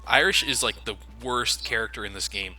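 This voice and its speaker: Very bright-voiced sardonic man. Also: fairly low pitch, normal speed, rough recording. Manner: sardonic, sweary. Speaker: let's play host. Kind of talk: casual game commentary.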